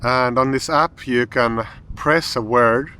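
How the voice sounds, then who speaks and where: A man with a Finnish accent, a language teacher calmly explaining an app as he tries it, thinking aloud with pauses. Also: mid-range voice, smooth. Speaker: language teacher and channel host. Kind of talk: explaining an app while trying it.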